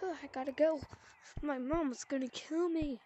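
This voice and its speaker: High-voiced playful woman, noisy headset. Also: fairly even intonation, measured pace, highs cut off. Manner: chatty, sighing, playful. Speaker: VR game player. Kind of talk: playful roleplay commentary. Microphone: VR headset mic.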